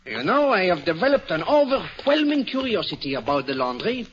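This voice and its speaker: An actor playing a polite, shrewd, meticulous detective with a French accent in an old-time radio drama, speaking deliberately with small hesitations. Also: male voice, sits high, very animated, fairly bright tone. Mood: accusing, assured. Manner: courteous, teasing, deliberate with hesitant "eh" and "uh". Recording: dull old radio recording.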